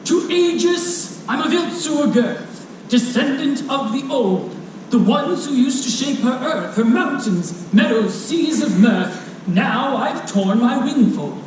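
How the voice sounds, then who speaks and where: Storyteller's echoey, slow, grumbling gentle dragon. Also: high voice, animated. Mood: proud, mournful. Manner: slow, haughty, mournful grumbling. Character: weary, grumbling gentle giant dragon, male, proud. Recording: echoey, distant live recording with noise.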